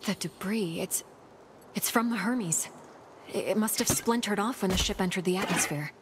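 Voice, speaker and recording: A woman playing a stranded space scientist in a video game, methodical and uneasy but determined, musing to herself in a hushed way. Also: mid-range voice, normal speed, background noise, close to an everyday voice.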